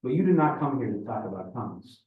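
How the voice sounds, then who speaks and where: A man's voice: medium pitch, big pitch swings, quick pace, natural voice. A man voicing a teasing older Russian father who speaks in folksy maxims, in a story reading. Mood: wry, expansive. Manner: pronouncing, folksy maxims in non-native phrasing. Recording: echoey room, distant muffled mic.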